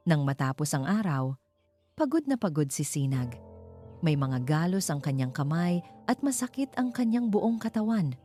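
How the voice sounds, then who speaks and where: A woman's voice: low voice, very animated, moderate pace, mellow, faint music behind. A woman with a Filipino accent reading scripted narration of a romance story as its narrator.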